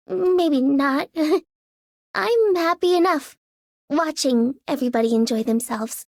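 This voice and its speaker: Shy, high-voiced, hesitant girl. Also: lively intonation, takes it slowly, pauses often, dry recording, heavily acted. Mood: shy, wistful. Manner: hesitant, stammering. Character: shy, timid young girl, gentle and easily flustered. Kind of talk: scripted game character voice line.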